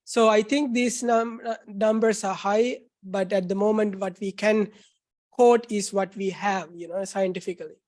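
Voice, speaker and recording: A woman doctor answers questions from a panel. Her voice sits at a middle pitch, and she explains in an earnest way, listing her points one after another. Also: fairly even intonation, normal speed, a little mellow. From Sri Lanka.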